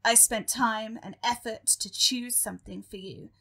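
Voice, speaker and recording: A woman playing a meek, earnest demon underling in a comic audio drama, speaking in a hesitant, halting way. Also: a little high, fairly even intonation, vocal fry, pushed and bright, full character voice.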